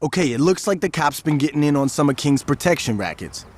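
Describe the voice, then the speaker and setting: A man's voice: on the high side, expressive, quick pace, slight background noise, lightly acted. An actor playing a calculating, level-headed male gang strategist who lays out plans firmly and with exasperation. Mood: serious. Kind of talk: video game cutscene acting.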